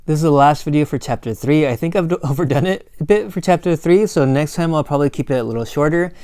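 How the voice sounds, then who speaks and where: A man giving a recorded college lecture in a casual, joking way, his voice slightly hoarse, often checking in with "right?". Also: a little high, fast, darkish tone, no room sound, studio quality. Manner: casual, corny asides, frequent "right?" and "um". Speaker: college instructor.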